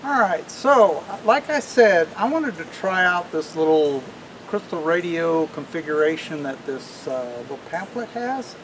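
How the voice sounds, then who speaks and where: A man's voice: fairly high pitch, big pitch swings, a little slow, fairly bright tone, slight background noise, poor audio. A middle-aged man explaining a hands-on project in a casual way as he demonstrates it to the camera. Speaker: host of a radio restoration channel. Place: outdoors on a wooden deck or driveway, also at a workbench.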